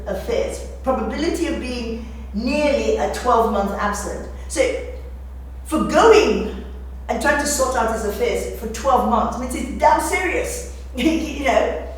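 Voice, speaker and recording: A very reverberant, distant recording with faint noise carries a woman's somewhat high voice. She speaks as if giving an informal talk, conversational yet emphatic, often repeating a phrase or posing a rhetorical question. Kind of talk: informal lecture with readings from a novel. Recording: very echoey room, distant mic, faint noise.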